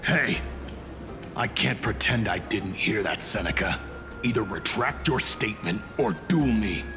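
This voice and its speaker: A man voicing a proud, loyal warrior in a video game, formal and quick to challenge. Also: lowish voice, animated. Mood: indignant. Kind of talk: anime-style video game voice acting. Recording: loud music behind.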